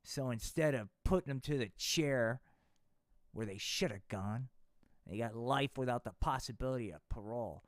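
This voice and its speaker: A man's voice: medium pitch, moderate pace, dry recording, studio quality. A man hosting a live puppet show, narrating in a rambling, profane, slangy way. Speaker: puppeteer host.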